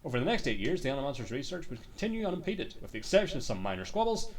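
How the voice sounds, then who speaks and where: A man's voice: medium pitch, big pitch swings, very fast, recorded from a distance, a little hiss or hum. A man streaming a game and reading its books aloud as theatrical storytelling, dropping into muttered, sweary asides.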